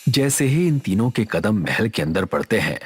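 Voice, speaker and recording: A man's voice: fairly low pitch, big pitch swings, normal speed, soft background music. A man narrating a scripted horror story.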